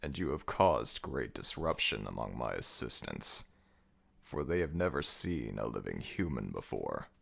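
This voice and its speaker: Guy doing menacing old jackal god of the dead, asmr roleplay, super deep n slow, stern and bossy. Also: big pitch swings, creaky, a bit bright, slightly rough, heavily acted. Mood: menacing, curious. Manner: slow, imperious, deliberate. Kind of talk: ASMR audio roleplay acting.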